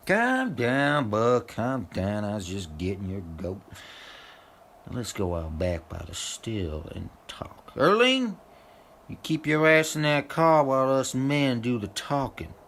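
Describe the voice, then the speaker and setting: A man's voice: medium pitch, very animated, a bit bright, a little hiss or hum, heavily acted. A man playing a menacing, crude backwoods moonshiner in an audio drama, with a rustic, taunting way of talking. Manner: rustic, slow, taunting talk.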